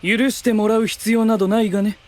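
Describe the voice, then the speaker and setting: An actor playing an aloof, blunt young knight with a curious mind, speaking in a terse, self-assured way in an anime-style audio drama. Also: male voice, high-pitched, flat delivery, a little fast, lightly acted.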